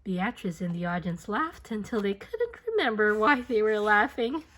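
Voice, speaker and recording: A woman reading a picture book aloud to children in an expressive, dramatic storytelling manner. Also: medium pitch, normal speed. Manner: expressive, dramatic, with whispers and playful sound effects.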